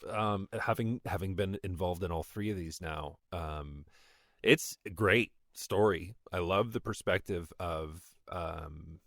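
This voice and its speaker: Male, late 20s, low register, dry sound. Voice actor as interview guest: casual, rambling, self-effacing. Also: creaky, a bit bright, no room sound. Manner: halting, rambling, self-deprecating. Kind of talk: casual conversation about a fan project.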